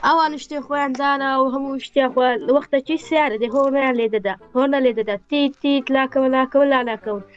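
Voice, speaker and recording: A woman telling a joke in animated storytelling style, acting out sound effects over a video call. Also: sits high, racing, hardly any pauses, lo-fi sound.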